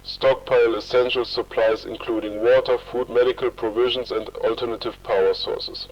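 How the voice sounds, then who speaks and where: A solemn official played by a man delivers a formal emergency broadcast in measured, impersonal tones. The sound is muffled and set back from the microphone. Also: mid-range voice, steady tone, far from the mic, natural voice. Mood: grave, official. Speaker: emergency bulletin announcer. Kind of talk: formal scripted emergency bulletin.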